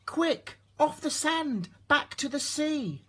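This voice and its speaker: A man's voice: very high voice, animated, slow pace, pushed and bright, voice pushed up, full character voice. A man voicing a tiny, anxious but determined female snail in a children's storybook. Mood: urgent.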